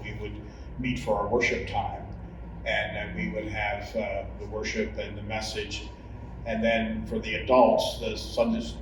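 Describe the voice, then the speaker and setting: Male church member interviewee, hesitant, phrase by phrase, distant in a reverberant, noisy room. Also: lowish voice. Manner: halting, pausing often mid-phrase. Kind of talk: hesitant spoken recollection in a group interview. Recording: noisy, echoey room, far from mic.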